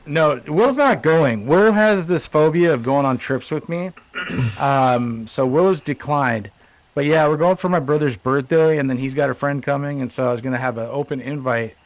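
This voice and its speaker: A man co-hosting a podcast in loose, rambling chat, stacking fillers and restarts as he talks. His voice sits a bit high for a man. The sound is dry and close, with no room around it. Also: moderate pace, dry recording, low-quality recording. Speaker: podcast co-host.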